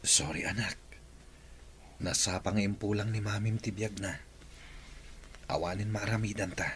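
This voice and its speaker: A man playing a gentle widowed father consoling his child in an Ilocano drama, with faint noise behind him. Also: on the low side, fairly even intonation, a little slow. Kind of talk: Ilocano radio drama acting.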